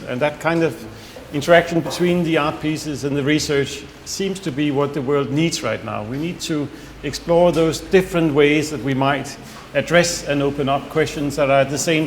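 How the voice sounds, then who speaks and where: A middle-aged man delivers an academic talk at a conference, his voice sitting a little high. He speaks in a measured, reflective way, with occasional fillers and restarts. The sound is roomy with echo, over a faint background hiss. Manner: measured, thoughtful, with frequent fillers and self-corrections. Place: conference hall with audience. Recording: echoey hall, faint background noise.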